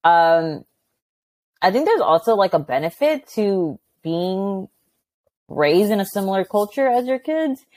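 A young adult woman, a high school teacher, chatting animatedly as a guest on an interview podcast. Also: unhurried, no room sound. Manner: animated and chatty, acting out quoted exchanges. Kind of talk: casual interview conversation.

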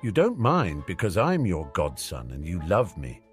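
A man's voice: deep voice, huge pitch swings, normal speed, soft background music. A man voicing a cheeky, easygoing teenage wizard boy in an audiobook story.

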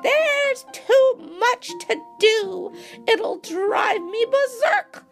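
Woman putting on a screechy, high-pitched wicked old witch. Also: lively intonation, extremely slow, faint music behind, full character voice. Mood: scheming, frazzled. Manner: imperious, snapping, dramatic. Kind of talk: storybook character voice.

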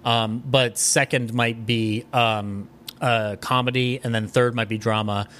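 Male podcast guest, medium pitch, animated and rambling, jokey casual conversation. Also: unhurried. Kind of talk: casual chat.

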